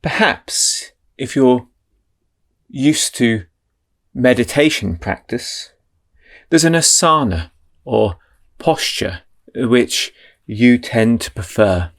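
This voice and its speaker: A man leading a guided meditation in a slow, soothing, drawn-out way. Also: mid-range voice, expressive.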